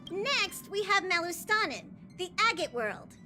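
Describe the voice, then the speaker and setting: A woman's voice: very high-pitched, sing-song, unhurried, music in the background, heavily acted. A woman voicing a tiny, cheerful creature who works as a train conductor, announcing in a chirpy way in game dialogue.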